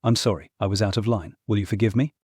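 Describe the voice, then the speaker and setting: Man acting a husky, scheming fallen god. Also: lowish voice, steady tone, fast, darkish tone, dry recording, clean studio sound. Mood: placating. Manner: imperious, persuasive. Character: proud, scheming ex-god uncle, longing for lost glory. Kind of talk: full-cast fantasy audiobook acting.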